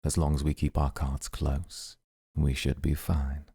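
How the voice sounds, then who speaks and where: A man playing a suave, calculating mafia boss in a flirty ASMR roleplay, with teasing, deliberate phrasing. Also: flat delivery, warm tone, no room sound, clean studio sound, full character voice. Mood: calculating, composed. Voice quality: very deep, gravelly, husky.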